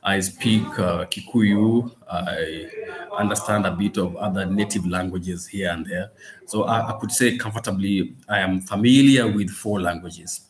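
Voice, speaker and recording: Low-voiced Kenyan man explaining haltingly. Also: a crowd in the background. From Western Kenya. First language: Swahili and Luo. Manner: halting, repeats words, frequent pauses. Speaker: language and culture guide, presenter. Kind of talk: informal explanatory talk to camera for tourists.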